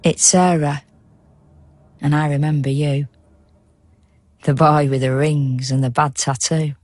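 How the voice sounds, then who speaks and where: A woman's voice: deep voice, steady tone, slow, a little mellow, no echo. A woman playing a tender, watchful dead young woman who narrates in lyrical, incantatory verse in a radio drama. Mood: tender, knowing.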